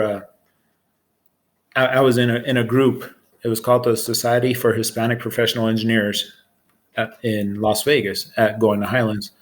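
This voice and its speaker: A man in his forties with an American accent, explaining his work as a supervisor in an informal interview, plain-spoken and matter-of-fact. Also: mid-range voice. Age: 40s. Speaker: air traffic control supervisor.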